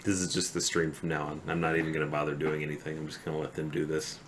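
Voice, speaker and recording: A man streaming from home, chatting with viewers in a halting way that trails off into quiet mumbles, then reading a poem slowly. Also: rumbling low, completely flat delivery, slight background noise. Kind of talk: casual chat with stream viewers; slow, deliberate poetry reading.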